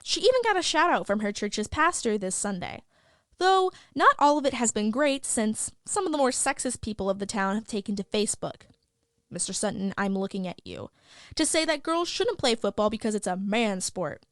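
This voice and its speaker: A woman's voice: a little high, animated, moderate pace, no room sound, studio quality, lightly acted. A woman playing a gossipy, opinionated young school news reporter, chatty and sardonic, delivering scripted podcast news. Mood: chatty, upbeat. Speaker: host of a school news show.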